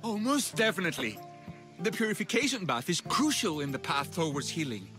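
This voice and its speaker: A woman playing a wry, expressive healer of an ancient sanctuary in a video game cutscene, speaking deliberately. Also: fairly low pitch, music in the background. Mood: matter-of-fact.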